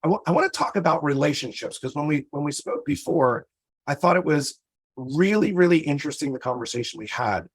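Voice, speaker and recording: A man's voice: fairly high pitch, big pitch swings, fairly quick, slightly rough, no room sound. A man hosting a podcast, upbeat and motivational, talking straight to his listeners while losing his voice. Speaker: podcast host. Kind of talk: energetic show opening.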